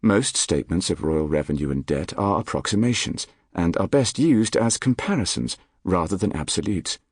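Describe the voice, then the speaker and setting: A man reading a nonfiction audiobook in a measured, articulate, formal manner. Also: low-pitched, expressive, slightly rough, no echo, studio quality. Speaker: audiobook narrator. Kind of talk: scripted nonfiction audiobook narration. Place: dry recording studio.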